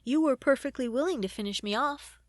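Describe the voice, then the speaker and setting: A woman voicing a fond, whimsical gentleman adventurer in a storybook, earnest and courtly. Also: high-pitched, brisk, dry recording, clean studio sound, close to an everyday voice. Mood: grudging.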